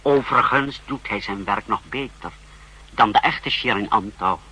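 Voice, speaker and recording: An actor playing a deferential yet questioning secret police officer in a 1960s Dutch radio drama, formal and intense. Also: male voice, on the low side, moderate pace, sharp tone, breathy edge, heavily acted, poor audio. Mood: eager, emphatic. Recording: old radio recording, faint hiss and hum.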